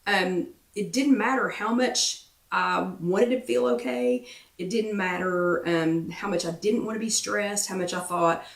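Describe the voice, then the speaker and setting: A woman hosting a wellness workshop, chatting casually and encouragingly to viewers, repeating herself for emphasis with lots of ums, her voice getting croaky. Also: mid-range voice, a little slow, recorded from a distance. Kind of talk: casual motivational chat to viewers. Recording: roomy echo, away from the mic.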